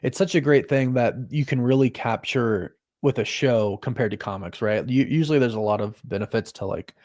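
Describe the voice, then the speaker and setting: A man's voice: medium pitch, brisk, darkish tone. A man in his mid-thirties hosting a casual watch-party chat, talking loosely and laughing at his own jokes. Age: 30s. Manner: chatty, laughs often, jokes about himself. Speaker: host.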